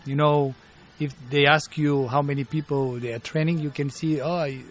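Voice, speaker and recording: A man in his fifties from Bulgaria, speaking English with a Bulgarian accent as a martial arts teacher being interviewed, pausing often to search for words. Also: on the high side, fairly even intonation. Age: 50s. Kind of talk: interview storytelling.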